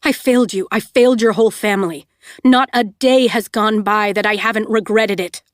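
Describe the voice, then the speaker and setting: A woman voicing a man who speaks steadily and quietly, full of regret. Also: medium pitch, fairly even intonation, normal speed, dry recording, studio quality, close to an everyday voice. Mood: remorseful. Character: older man, steady and remorseful.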